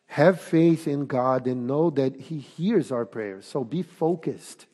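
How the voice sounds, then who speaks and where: A man with a Portuguese accent preaching a sermon, speaking deliberately and emphatically with pauses. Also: mid-range voice, dark tone. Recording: long reverb of a hall.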